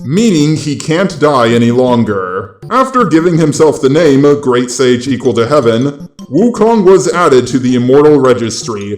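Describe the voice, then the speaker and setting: A man's voice: mid-range voice, a little mellow, reverberant. A male synthetic robot voice delivering scripted video narration in a matter-of-fact way. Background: background music.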